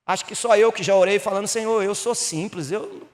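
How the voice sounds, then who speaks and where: A Brazilian pastor from Minas Gerais with a Mineiro accent, preaching a sermon that rises to emphatic bursts and drops low again. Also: male voice, fairly quick, big-room sound. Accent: Mineiro Brazilian Portuguese. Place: church hall.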